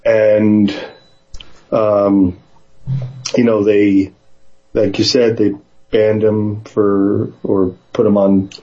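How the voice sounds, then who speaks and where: A man in casual conversation, speaking in a hesitant, halting way, sometimes reading aloud. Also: lowish voice, little pitch movement, dark tone, dull audio. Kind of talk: casual conversation with some read-aloud passages.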